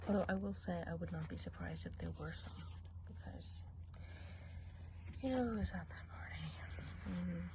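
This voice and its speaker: A woman's voice: on the low side, huge pitch swings, moderate pace, pauses often, noisy background. A woman playing a gossipy female witch of the woods in an ASMR role play, whispering confidingly while giving an eye exam. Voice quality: mostly whispered.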